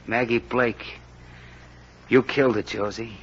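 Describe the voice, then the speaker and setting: A man's voice: medium pitch, steady tone, measured pace, breathy edge. An actor playing a menacing, sardonic male racketeer in an old-time radio crime drama, talking in a tough, slangy sneer. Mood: quiet menace. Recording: noisy, dull old radio recording.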